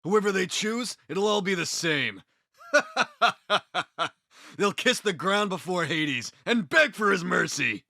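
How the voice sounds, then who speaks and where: A man voicing a haughty, excitable follower of Hades in an anime fan dub, theatrical and indignant. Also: high voice, slow pace, vocal fry, no room sound, heavily acted. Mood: smug, gloating.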